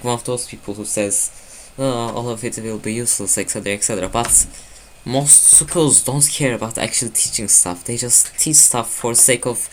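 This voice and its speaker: Man, let's play commentary, rambling, mid pitch, slight background noise. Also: fairly bright tone, poor audio. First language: Turkish. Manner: rambling, self-correcting rant. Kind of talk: casual gameplay commentary.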